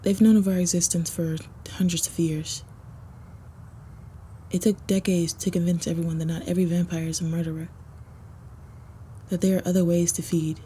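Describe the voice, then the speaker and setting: A woman voicing a confident, flirty vampire loner in a first-person roleplay audio, measured and self-assured. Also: fairly low pitch, little pitch movement, warm tone, slight background noise, close to an everyday voice. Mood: brooding, calm.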